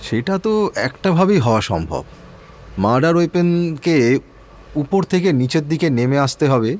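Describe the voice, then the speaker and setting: A man acting a brooding, analytical senior police inspector in an audio drama, pondering and questioning. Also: on the high side.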